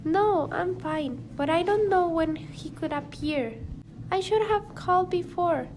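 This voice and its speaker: A woman playing a frightened farmer lady in an amateur radio drama, speaking slowly and deliberately. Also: very high voice, a little mellow. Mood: anxious pleading. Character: worried farmer lady frightened for her killed goats. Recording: noisy amateur home recording.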